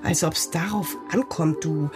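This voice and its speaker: A woman's voice: low-pitched, a little slow, music in the background. An actress playing a cheeky, impatient East German teenage girl in a radio drama, teasing and brusque. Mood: mocking.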